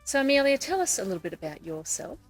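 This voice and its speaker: A woman's voice: sits high, sing-song, fast talker, soft background music. A woman hosting a podcast, interviewing guests in a conversational way. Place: couch in a room in Nakasi.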